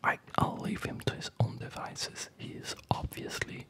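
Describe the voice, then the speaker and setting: A person whispering ASMR gameplay commentary, hesitantly thinking aloud as the host of a gaming video. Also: normal speed.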